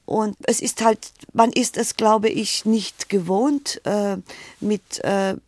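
A woman's voice: mid-range voice, steady tone, takes it slowly, darkish tone, no room sound. A middle-aged woman from Romania with a Romanian-German accent and a rolled R, answering interview questions in a hesitant, thoughtful way with many fillers. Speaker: interview guest, writer.

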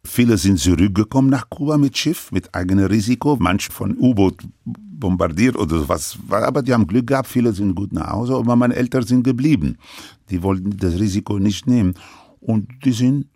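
An older man who grew up speaking French in Lebanon, recounting his life story in halting, broken sentences full of restarts. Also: on the low side, lively intonation, a little mellow, no room sound, clean studio sound. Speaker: interviewee.

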